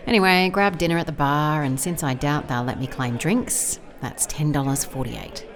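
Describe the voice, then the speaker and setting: Low-voiced, wry woman private investigator. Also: a little mellow. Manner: dry, musing, clipped note-taking. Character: wry, methodical female private investigator dictating case notes. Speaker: lead actor in audio drama. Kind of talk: first-person audio drama case log.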